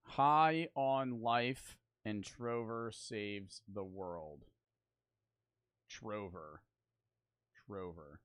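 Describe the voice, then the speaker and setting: A young man streaming casually, talking fast and animated with lots of swearing, close to the mic. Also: lowish voice, clear, resonant tone, dry recording.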